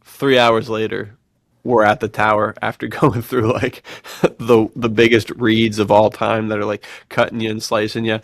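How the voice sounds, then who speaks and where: A low-pitched American man with plenty of vocal fry co-hosts a casual podcast. He talks in a slangy, bro-ish way, telling stories. The sound is dry and close, with no room on it. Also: flat delivery, normal speed, no echo. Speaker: podcast co-host.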